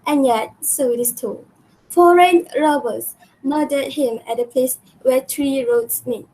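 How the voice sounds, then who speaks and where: An earnest, imperious queen of ancient Greece acted by a young female student speaks in a distinctly high voice. The delivery is that of an amateur radio drama. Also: unhurried, a little mellow, rough recording. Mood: pleading, reasoning. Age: young adult. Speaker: student actor.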